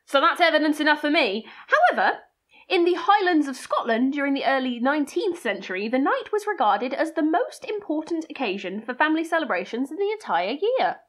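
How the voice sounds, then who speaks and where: A woman's voice: high voice, very animated, fairly quick, fairly bright tone. A British woman co-hosting a folklore podcast, mixing scripted narration with dry, playful banter. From Britain. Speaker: podcast co-host.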